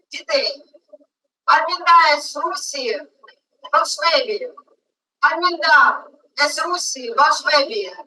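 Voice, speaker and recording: A woman's voice: high voice, bright, forceful tone. A woman narrating a personal family story in a film. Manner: storytelling, intimate. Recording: film audio played from far off.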